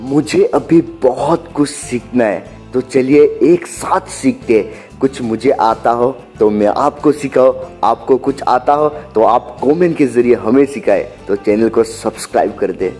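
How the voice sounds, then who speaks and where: A man's voice: mid-range voice, a little slow, few pauses, a little mellow, faint music behind. A man giving an earnest voice-over between vlog clips, urging viewers to like and subscribe.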